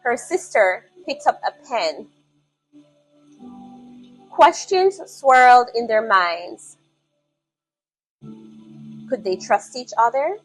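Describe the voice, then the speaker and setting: A woman narrating a scripted dramatic story in a solemn, measured manner, in short phrases. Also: on the high side, rough recording.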